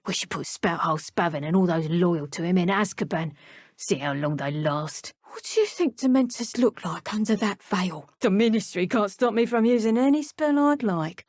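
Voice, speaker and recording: A woman playing a haughty, menacing dark witch in a video game, with a taunting, contemptuous way of talking. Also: medium pitch, no echo, clean studio sound, natural voice. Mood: scornful. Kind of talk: video game combat barks and villain lines.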